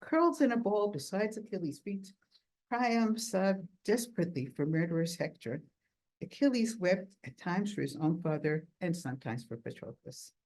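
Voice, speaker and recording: A woman giving an academic lecture at a workshop, reading quoted texts aloud in a measured, deliberate way and slowing down to stress key words. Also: fairly low pitch, expressive, a little mellow, low-quality recording.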